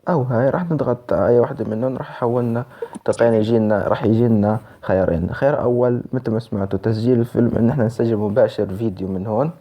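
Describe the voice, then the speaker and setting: A man's voice: medium pitch, very fast, mellow. A man with a Levantine Arabic accent presenting a casual app tutorial, explaining informally step by step. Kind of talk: casual spoken app tutorial for screen reader users.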